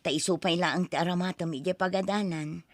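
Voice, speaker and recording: A woman's voice: lowish voice, fairly even intonation, quick pace, no echo, lightly acted. A woman voicing a worried middle-aged wife and mother in an audio drama, pleading and anxious. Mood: soothing. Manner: pleading, anxious, soothing to her son.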